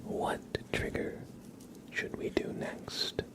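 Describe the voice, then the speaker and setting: A man hosting a casual ASMR livestream, chatting in a breathy, gentle, unhurried whisper and softly repeating phrases. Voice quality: breathy whisper with creaky edges. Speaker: live streamer, ASMR host.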